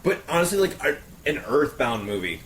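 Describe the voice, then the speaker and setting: 抑揚がとても大きい男性の声. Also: male voice, mid-range voice, very animated, fairly quick, fairly bright tone. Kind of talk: casual group chat. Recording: distant mic, some background noise.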